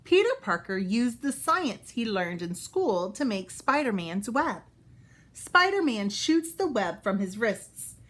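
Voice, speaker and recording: A woman reading a children's book aloud as a storyteller, expressive and pausing to explain things for young listeners. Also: fairly high pitch.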